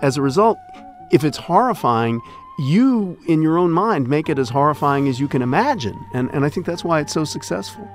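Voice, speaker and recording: A man being interviewed on radio, explaining emphatically and deliberately, building his point through repetition. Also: a little high, expressive, soft background music. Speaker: radio interview guest, old-time radio fan.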